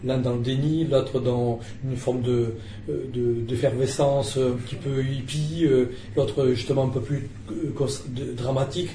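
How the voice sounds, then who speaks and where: A man's voice: mid-range voice, steady tone, unhurried, mellow, a little hiss or hum. Distant man asking questions, echoey room. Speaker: film journalist. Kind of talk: press conference interview.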